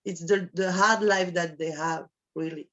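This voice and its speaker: A woman from France with a French accent, speaking English as a guest on an interview and often pausing to search for words. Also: on the low side, steady tone, fairly bright tone, lo-fi sound. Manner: halting, searching for words with many restarts. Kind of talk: casual interview.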